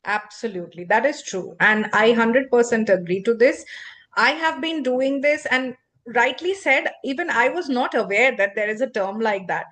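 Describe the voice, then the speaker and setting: A woman whose first language is not English, hosting a podcast and explaining English fluency in an expressive, emphatic teacherly way. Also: fairly high pitch, moderate pace. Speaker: podcast host and English coach. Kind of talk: instructive podcast conversation.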